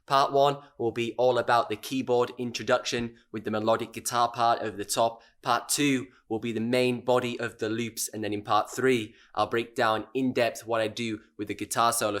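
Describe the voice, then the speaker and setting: A hoarse young British man with a lost voice, giving a relaxed, step-by-step music lesson. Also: medium pitch, moderate pace, few pauses. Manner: relaxed and chatty, explains step by step. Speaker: music teacher. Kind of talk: instrument lesson.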